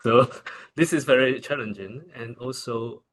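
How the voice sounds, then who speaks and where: A man with a Taiwanese accent answering questions as a researcher at a conference, in halting, informal phrasing with repeated words. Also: medium pitch, fairly bright tone. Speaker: researcher presenting.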